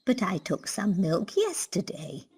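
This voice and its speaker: A frail, trusting old grandmother, drags her words out slowly. Her breathy voice swoops high and low in a kindly sing-song, as in a storybook cartoon. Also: huge pitch swings, a little mellow, faint music behind. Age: older. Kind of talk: children's cartoon storybook acting.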